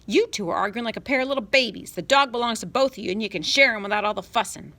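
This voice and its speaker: A woman's voice: medium pitch, sing-song, fairly quick, pushed and bright, no echo, exaggerated voice. Woman playing a firm scolding frontier mother.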